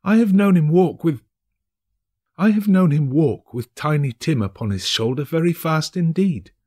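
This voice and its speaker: A man voicing a gentle grieving mother in a storybook reading, tender and faltering, trying to stay cheerful. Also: on the high side, lively intonation, normal speed, voice pushed up. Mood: brave, tender. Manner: tender, faltering, repeating words.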